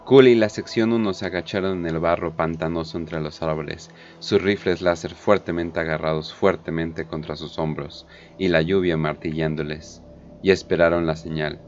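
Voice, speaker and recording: A man's voice: low voice, steady tone, moderate pace, darkish tone, highs cut off. A man narrating a scripted war story in a dramatic audio drama style. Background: prominent background music.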